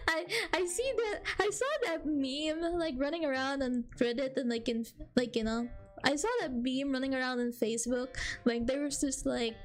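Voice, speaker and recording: A woman's voice: high-pitched, fairly even intonation, measured pace, soft background music. A young woman from the Philippines with a Filipino accent, chatting casually and playfully with her stream viewers, giggling and rambling.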